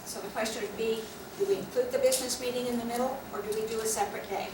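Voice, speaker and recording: A woman at a club meeting talks through upcoming plans in a measured, practical way. Her voice sits a little high and comes from far off across an echoing room. There is a steady noise behind her. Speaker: guild officer presenting education plans. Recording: echoey room, distant mic, noisy.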